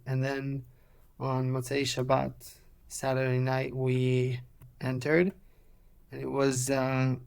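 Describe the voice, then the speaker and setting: A man in his twenties with an Israeli accent, a native Hebrew speaker, telling his story as an interview guest in a measured, halting way. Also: medium pitch, creaky. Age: 20s. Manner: measured, halting, many "uh" pauses. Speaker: guest, former soldier.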